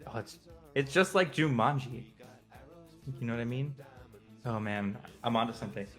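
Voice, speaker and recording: A man's voice: mid-range voice, moderate pace, soft background music. A man co-hosting a casual show, bantering and rambling in informal slang. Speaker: show co-host. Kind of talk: casual banter about video game movies.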